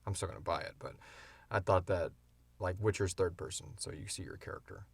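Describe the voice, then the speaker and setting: Guy with a lowish crackly voice rambling on a podcast q&a, real into it, dry booth sound. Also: a little fast, vocal fry, breathy edge, dry recording. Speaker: creator and co-host of a fiction podcast. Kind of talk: casual question-and-answer chat. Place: small enclosed recording booth.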